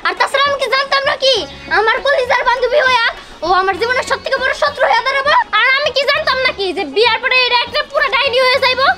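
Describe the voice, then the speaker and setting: A performer voicing a jealous, quick-tempered young cartoon wife, whining and combative, with a colloquial Bangladeshi accent. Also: very high voice, steady tone. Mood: indignant. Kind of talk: comic cartoon bickering. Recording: loud music bed behind the voice.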